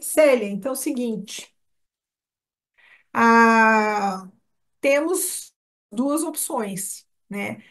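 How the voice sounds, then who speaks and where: A woman with a Brazilian Portuguese accent and a slightly high-pitched voice. She speaks as a course instructor in an informal live webinar. Her way of talking is chatty and direct, addressed straight to the people attending. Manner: chatty, addresses listeners by name.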